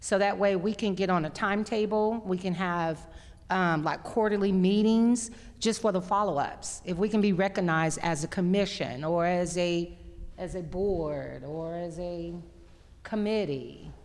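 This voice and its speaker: A woman with a loud, carrying voice making a request at a community meeting. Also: fairly low pitch, unhurried. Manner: loud voice that carries. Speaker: community participant. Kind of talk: unscripted meeting remarks. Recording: reverberant hall.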